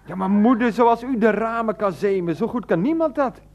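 A man's voice: sits high, normal speed, a little mellow. An actor in a Dutch radio play as a grown son pleading and stammering on the phone to his mother. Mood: coaxing. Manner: stammering, coaxing, often interrupted. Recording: dull, band-limited radio recording.

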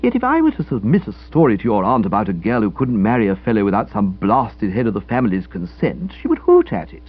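A man's voice: fairly low pitch, huge pitch swings, fast, husky, exaggerated voice. A man voicing a gloomy, self-pitying young upper-class English writer in a comic audiobook, grumbling theatrically. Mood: aggrieved. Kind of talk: comic audiobook character dialogue. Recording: dull lo-fi radio-style recording.

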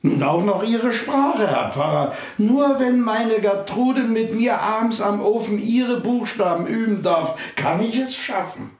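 A humble, middle-aged farmer in a radio drama. His voice is very high for a man, halting and earnest, and it echoes in a reverberant room. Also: animated. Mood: humble, pleading. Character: humble, plain-spoken, weary married farmer pleading with his priest. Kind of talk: radio play acting.

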